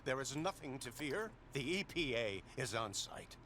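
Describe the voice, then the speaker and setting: An actor playing a stern, self-important, skeptical government inspector in a video game, officious and scolding. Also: male voice, medium pitch, very animated, moderate pace, slight background noise. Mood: stern, dismissive. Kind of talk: scripted video game voice acting. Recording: game audio under loud music.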